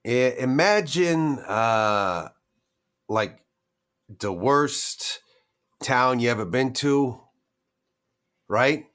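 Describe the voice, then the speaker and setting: A man playing a nervous, hesitant, self-deprecating vampire informant with halting, folksy streetwise talk in a roleplaying game. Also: medium pitch, expressive, a bit bright, clear, resonant tone. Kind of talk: improvised in-character roleplay.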